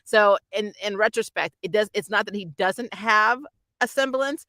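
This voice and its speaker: Bright-voiced, casual reviewer in a dry room. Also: mid-range voice, normal speed, no room sound. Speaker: host of an anime review channel. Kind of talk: casual spoiler review chat.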